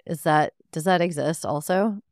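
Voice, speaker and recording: A woman's voice: low-pitched, normal speed, darkish tone, dry recording, studio quality. A woman with a Minnesotan accent hosting an interview, asking friendly, curious questions. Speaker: podcast host. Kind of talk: casual interview.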